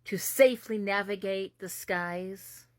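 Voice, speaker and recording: A woman from the United States speaks in slow, drawn-out phrases, heavy with sarcasm and disbelief. Her voice sits in a middle register. The recording is dry and close. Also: mid-range voice, steady tone, no echo. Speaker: commentary host. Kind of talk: political commentary.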